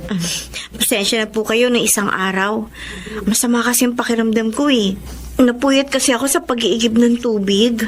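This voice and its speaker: A woman's voice: fairly high pitch, fairly even intonation, normal speed, lightly acted. A woman playing an earnest, proud young saleslady in a radio drama, with a Filipino accent. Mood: flustered, apologetic. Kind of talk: Filipino radio drama acting and first-person narration. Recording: music under the voice.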